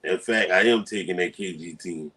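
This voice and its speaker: A man's voice: deep voice, moderate pace, pushed and bright. Blunt male co-host, mid-range voice, laughs a lot, curses freely. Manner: blunt, swears casually, laughs often. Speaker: podcast co-host. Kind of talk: casual sports debate chat.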